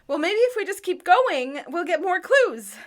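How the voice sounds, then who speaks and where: A woman playing an excitable, chatty wandering musician, eager and dramatic, in a radio drama. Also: very high voice, a little slow, heavily acted. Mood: curious.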